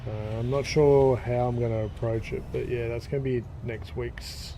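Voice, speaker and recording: A man chatting casually as he plays a game on stream, thinking aloud and rambling with fillers. His voice is very dark and mellow at an average pitch. Faint background hiss sits behind it. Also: fairly even intonation, normal speed. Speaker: gaming streamer. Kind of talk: casual gameplay commentary.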